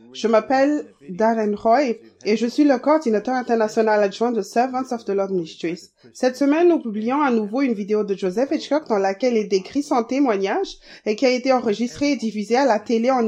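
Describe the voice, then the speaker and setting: Woman doing a calm dub voice-over, slightly high voice, even and steady. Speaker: voice-over translator. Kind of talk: read French voice-over of a testimony video.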